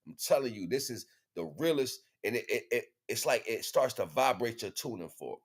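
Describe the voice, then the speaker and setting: A man's voice: medium pitch, expressive, fairly quick. A man hosting an informal spiritual teaching livestream, talking in slang with emphatic, repeated phrases. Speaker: livestream host.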